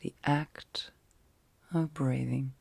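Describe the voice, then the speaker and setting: A woman speaks very softly, often slipping into a breathy whisper, as she guides a sleep meditation. Her delivery is slow and fairly even, with gentle, soothing phrases set apart by long pauses. There is a creaky fry in the voice, and the sound is close and dry, in an ASMR style. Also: extremely low pitch, no room sound.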